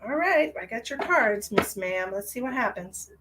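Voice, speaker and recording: A woman, congested, chatting warmly with viewers during a live tarot reading. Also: fairly high pitch, expressive, normal speed, very noisy. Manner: chatty and affectionate, addresses viewers directly. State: stuffy, congested. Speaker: psychic tarot reader.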